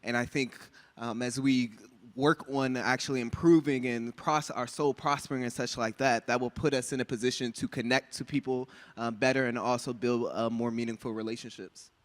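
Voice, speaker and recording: Measured, thoughtful man on a panel. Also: mid-range voice, steady tone. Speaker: relationship panel guest. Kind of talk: answering panel questions.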